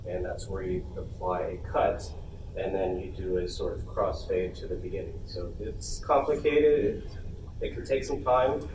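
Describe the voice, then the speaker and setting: A man giving an informal technical explanation at a workshop in a halting, explanatory way. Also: deep voice, steady tone, darkish tone, far from the mic. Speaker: workshop panelist, audio expert. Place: convention panel room. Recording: echoey noisy room from afar.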